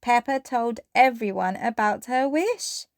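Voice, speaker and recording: A woman's voice: a little high, animated, measured pace, dry recording, clean studio sound. A woman reading a children's bedtime story aloud as narrator.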